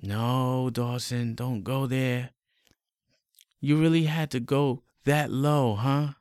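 A man's voice: medium pitch, steady tone, takes it slowly, a little mellow, clear, resonant tone, no echo, studio quality, close to an everyday voice. A man doing an exasperated scolding girl.

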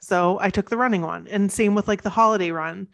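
A woman in her thirties co-hosting a podcast, chatting eagerly with quick asides, sniffly from the cold. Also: medium pitch, creaky, fairly bright tone. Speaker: podcast co-host. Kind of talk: casual chat.

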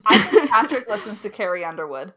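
A woman's voice: medium pitch, very animated, fast talker, pushed and bright, slightly rough. A woman co-hosting a creator Q&A, chatting casually with playful, mock-serious emphasis. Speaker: podcast creator and co-host.

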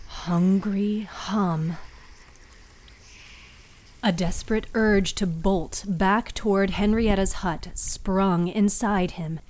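A woman reads a spooky piece of serial fiction as its narrator. Her delivery is unhurried and measured, with a hushed edge. The tone is soft and relaxed, never sharp. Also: fairly low pitch. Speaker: host and narrator of a fiction podcast. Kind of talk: scripted spooky story narration.

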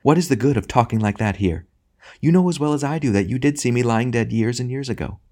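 Audiobook role, male reader: blessed lady spirit, tender, earnest, quietly amused, pitched well above a man's talk. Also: fast, lightly acted. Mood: amused teasing. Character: serene, joyful, loving lady spirit, gently teasing. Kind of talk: audiobook character acting.

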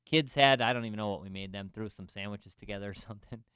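A man's voice: lowish voice, quick pace, bright, forceful tone, smooth, dry recording, clean studio sound. A man in finance telling a self-deprecating story in casual chat, with lots of restarts and asides. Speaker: finance professional.

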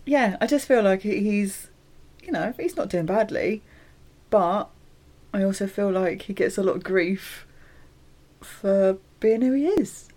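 A woman's voice: medium pitch, expressive, measured pace, dark tone. Giggly woman podcast co-host. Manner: giggly, playful and opinionated. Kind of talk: casual chat.

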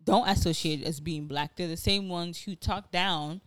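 A woman's voice: on the low side, fairly even intonation, normal speed, dry recording. A woman in her twenties who grew up partly in Nigeria, chatting casually on a podcast and rambling with lots of fillers and restarts. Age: 20s.

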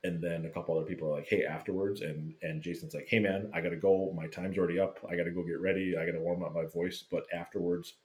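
A man casually telling a lively fan story, swearing freely and acting out what people said. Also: sits low, fast, hardly any pauses. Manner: animated, swearing, acts out quotes. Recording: roomy echo.

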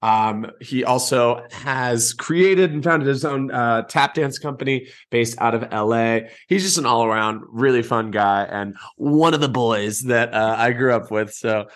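A man's voice: mid-range voice, unhurried, few pauses, fairly bright tone. A young man co-hosting a casual podcast, laid-back and joking at his own expense. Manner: laid-back, laughing, self-deprecating. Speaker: podcast co-host. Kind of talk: casual chat.

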